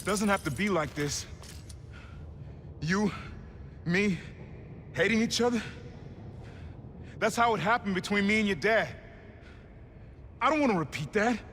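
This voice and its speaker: A man's voice: sits high, measured pace, pauses often, fairly bright tone, slight background noise. An actor playing a stern middle-aged uncle turned masked villain, cold and scolding toward his nephew in a video game. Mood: pleading. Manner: cold, scolding, then pleading. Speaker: game character. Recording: game soundtrack music behind.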